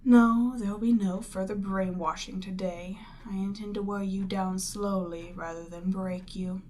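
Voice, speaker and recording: Woman playing a demon queen, proud, seductive, haughty, unhurried delivery, breathy near-whispers, ASMR roleplay, noticeable background noise. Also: mid-range voice, fairly even intonation, darkish tone, lightly acted. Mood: cool, composed. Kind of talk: scripted ASMR roleplay addressed to the listener.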